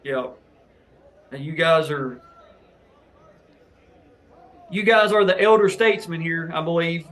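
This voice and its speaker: Male host with a high voice, teaching-style breakdown of officiating footage. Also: moderate pace, a bit bright. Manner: explanatory, coaching tone toward new officials. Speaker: host reviewing match footage. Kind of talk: instructional match breakdown for officials.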